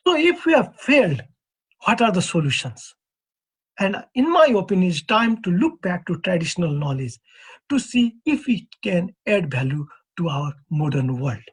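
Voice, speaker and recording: A man in his fifties from East Nepal with a Nepali accent, giving a measured, earnest conference presentation as an earthquake engineer. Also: high-pitched, very animated, breathy edge. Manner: measured, earnest, poses questions to the audience.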